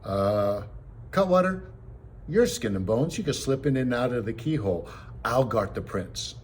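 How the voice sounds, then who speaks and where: A man voicing a big, hairy outlaw in a storybook, suspicious and blustering, with a rough, exclamatory way of talking. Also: mid-range voice, lively intonation, a little slow, a little hiss or hum, lightly acted. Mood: nervous, wheedling.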